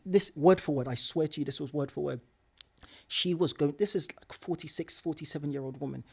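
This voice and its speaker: Emphatic, laughing man, higher voice. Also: gravelly, slightly rough. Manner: animated, emphatic, laughs often, repeats words. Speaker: podcast guest, property investor. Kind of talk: casual podcast conversation.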